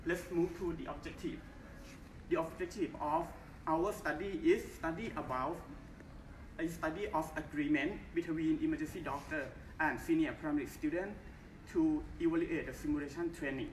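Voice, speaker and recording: Young thai guy doing a conference talk, kinda high voice, far off in a roomy hall, faint hiss. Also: steady tone. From Thailand. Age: young adult. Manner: careful, halting English with simple phrasing. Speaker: conference presenter. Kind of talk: formal research presentation. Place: large bright conference hall. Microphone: far camera, no mic.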